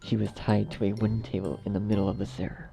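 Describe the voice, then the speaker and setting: Creaky-voiced exasperated male narrator over loud music. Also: fairly low pitch, flat delivery, fast talker. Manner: exasperated, self-berating, sweary. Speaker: narrator and editor. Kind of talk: story narration outtakes with asides.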